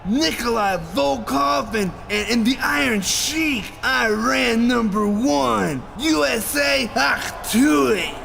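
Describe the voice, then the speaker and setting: A man's voice: very high-pitched, takes it slowly, vocal fry, hardly any pauses, bright, forceful tone, a little hiss or hum, heavily acted. A man playing a childlike, overexcited, simple young wrestler who stammers in a video game cutscene. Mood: childlike glee.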